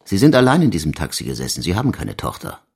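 A man's voice: very deep voice, huge pitch swings, quick pace, gravelly, slightly rough, full character voice. An actor playing a blunt, probing male chief inspector who presses his questions in a radio crime drama. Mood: insistent, pressing. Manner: confronting, insistent interrogation.

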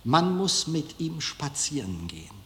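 A man giving a public lecture in a deliberate, halting way with dramatic pauses. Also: fairly high pitch, very animated, a bit bright, slight background noise. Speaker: film director giving a lecture. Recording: echoey hall, long reverb.

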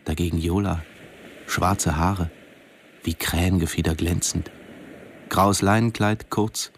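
An actor playing a reserved middle-aged diving instructor who narrates a radio drama in a sober, matter-of-fact way. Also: male voice, low voice, fairly even intonation, slow, slight background noise. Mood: sober, reflective. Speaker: narrator and character.

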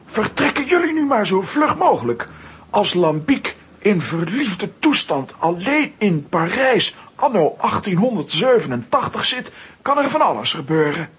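An agitated professor for a children's audio play. His voice is high and very rough, swinging up and down as he fusses and exclaims. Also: sing-song, a little slow, fairly bright tone, heavily acted. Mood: urgent. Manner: flustered, exclamatory. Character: fussy, agitated, nervous professor. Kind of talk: children's audio drama acting.

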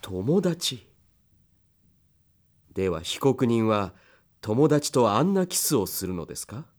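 An actor playing a stern male interrogator in an audio drama, questioning in a formal, measured, insistent manner. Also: mid-range voice, very animated, darkish tone. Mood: cool, insistent. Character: stern, controlled male interrogator, coolly jealous lover. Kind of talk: Japanese audio drama acting. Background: music.

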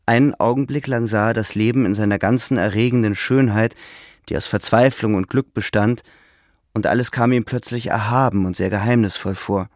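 Radio drama narrator: male, lower-pitched, literary scripted delivery, close dry studio sound. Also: fairly even intonation, a little fast, darkish tone, dry recording.

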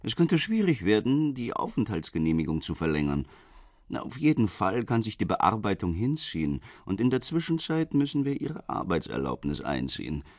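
A government official in a radio crime drama. His very low voice rises and dips expressively, close and dry. He is measured and polite, with a veiled threat in his phrasing. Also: darkish tone, dry recording. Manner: measured, insinuating politeness with rhetorical questions.

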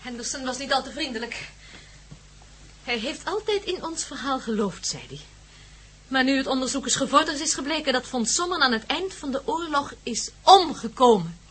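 A woman's voice: sits high, expressive, moderate pace, fairly bright tone, breathy edge, full character voice. A woman acting in a radio drama as an anxious, earnest companion of a band of adventurers. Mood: worried, reporting.

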